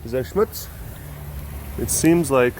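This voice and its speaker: A playful man with a Wisconsin accent chats in a rambling, jokey way for his vlog. He speaks at an ordinary male pitch, recorded outdoors with faint noise behind him. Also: lively intonation, slow, darkish tone, low-quality recording. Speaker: animal sanctuary vlogger. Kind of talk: casual vlog chat.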